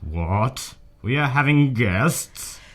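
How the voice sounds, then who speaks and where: A man's voice: medium pitch, expressive, extremely slow, a bit bright. Guy doing a gloomy hateful gothic husband in a radio drama, grumbly and curt, voice jumps around a lot. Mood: grumpy, incredulous.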